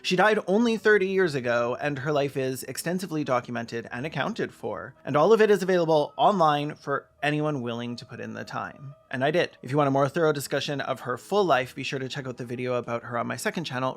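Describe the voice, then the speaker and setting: Male essayist, emphatic and pointed, creaky edge to the voice, scripted argument over faint music. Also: fairly high pitch, lively intonation, fairly quick. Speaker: video essayist and presenter.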